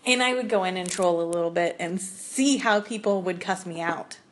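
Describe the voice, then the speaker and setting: A woman's voice: fairly low pitch, moderate pace. A woman from Ohio with a Midwestern accent, co-hosting a podcast in casual, chatty talk and laughing easily. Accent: Midwestern American. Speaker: podcast co-host. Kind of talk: casual chat.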